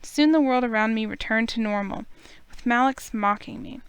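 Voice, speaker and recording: Woman gamer, dramatic reading of visual novel text with wry asides, higher voice. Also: normal speed, smooth, no echo. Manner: expressive narration with wry asides.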